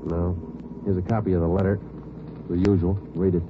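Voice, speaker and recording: Actor playing a deadpan cop, low and quick, tinny hissy old radio. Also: male voice, close to an everyday voice. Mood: terse. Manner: clipped, flat, matter-of-fact. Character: terse, deadpan police sergeant. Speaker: homicide detective sergeant and narrator. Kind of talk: 1940s radio acting.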